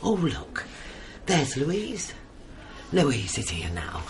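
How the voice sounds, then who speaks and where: An actress playing a gentle, weary older mother in a naturalistic radio drama, soft-spoken and placating. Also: deep voice, wildly swooping pitch, very slow, heavily acted. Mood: weary, kindly. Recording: clear background noise.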